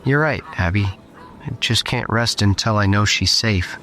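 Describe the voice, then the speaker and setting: An actor playing a weary, guilt-laden war survivor in an audio drama, subdued and earnest. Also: male voice, on the low side, a little slow, sharp tone, slight background noise. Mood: somber, troubled. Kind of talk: scripted audio drama acting.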